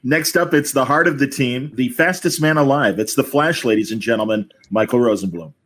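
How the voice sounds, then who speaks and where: A man's voice: medium pitch, fairly quick. A man hosting a podcast, introducing guests in a casual, stop-and-start way. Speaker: podcast host.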